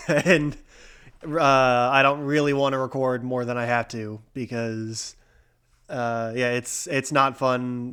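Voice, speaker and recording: A man's voice: medium pitch, a bit bright, clean tone. A young man hosting a casual sports recap, talking with a stuffy nose from a cold, rambling with lots of fillers and restarts. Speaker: podcast host.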